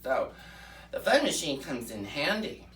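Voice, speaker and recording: A woman playing a brash, folksy right-wing pundit persona in a comic rant, fiery and preachy with drawn-out "mm-hmm" asides. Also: low voice, big pitch swings, roomy sound, far from the mic, slight background noise, low-quality recording. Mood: chatty gossiping. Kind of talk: comic political rant in character.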